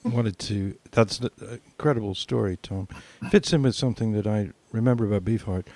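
A man's voice: on the low side, brisk, mellow, slight background noise. An older British man reminiscing as a podcast co-host, repeating phrases for emphasis. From Britain.

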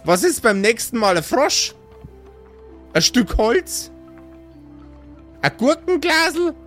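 Man as exasperated fantasy mother, high voice, lively swooping intonation, Bavarian-Austrian accent, sarcastic scolding, audio play. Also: slow, faint music behind, heavily acted. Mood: mocking. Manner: nagging, sarcastic, rhetorical questions. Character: scolding, exasperated mother of grown adventurer sons.

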